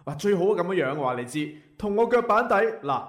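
A man plays a fussy, fearless diner from a comic radio play, lecturing impatiently in Guangzhou Cantonese. The voice is high for a man and very animated, recorded with noticeable echo. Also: a little fast. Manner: nagging, pedantic, animated. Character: impatient, bold male diner, fussy and pedantic. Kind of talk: comic internet radio drama acting. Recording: roomy, echoing voice track.

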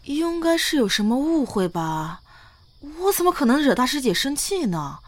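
An actress voicing a timid, flustered young lover in an audio drama, bewildered and fretting as they protest innocence. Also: female voice, sits high, big pitch swings, moderate pace. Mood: anxious fretting. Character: timid, flustered, anxious lovestruck young junior. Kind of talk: modern audio drama acting. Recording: music behind the voice.

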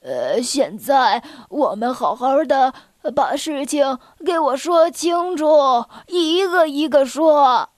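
A woman's voice: high voice, lively intonation, slow pace, few pauses, no room sound, clean studio sound. A woman voicing an old, hard-of-hearing male circus bear who drawls and mumbles as if mishearing, in a storybook reading. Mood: slow, sly.

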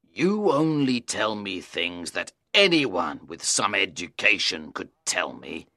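A man's voice: low voice, sing-song, slow pace, bright, forceful tone, dry recording, studio quality, heavily acted. A man playing an anguished, defiant scholar in a dramatised audiobook, bitter and impassioned. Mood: bitter, demanding. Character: Faustus, anguished defiant scholar bound to the devil.

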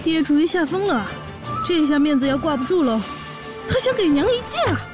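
An excitable young orc wife acted by a woman speaks in a high voice, full of admiration, half narrating and half chatting. Music plays clearly behind this fantasy audio drama. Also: fairly quick. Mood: excited.

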